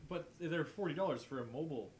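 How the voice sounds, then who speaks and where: A man's voice: on the high side, expressive, fast talker, over a noisy crowd, low-quality recording. A man chatting casually on a podcast. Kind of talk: casual chat.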